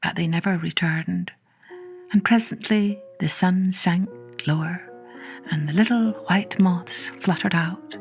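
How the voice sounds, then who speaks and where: A woman narrating a children's story in a measured, expressive way, drawing out some words. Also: on the low side, faint music behind. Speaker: storyteller, podcast host.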